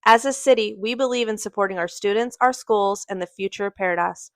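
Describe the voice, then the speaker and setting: A woman's voice: medium pitch, a little fast, no echo. Woman reading a scripted promo, dry sound. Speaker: local official giving a sponsor message.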